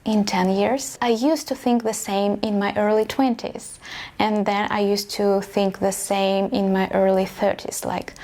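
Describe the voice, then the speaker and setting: A woman's voice: medium pitch, a little mellow. A woman in her late thirties whose first language is Russian, sharing her personal story in an earnest, reflective vlog. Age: late 30s. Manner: earnest, reflective, with small grammar slips. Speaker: vlogger and model coach.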